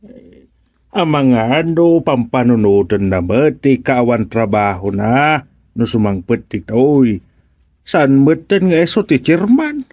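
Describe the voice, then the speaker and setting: A man's voice: medium pitch, very animated, slow, a little mellow, no echo. An actor playing a gossipy, jovial village councilman with an Ilocano accent in a radio drama comedy. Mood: chatty.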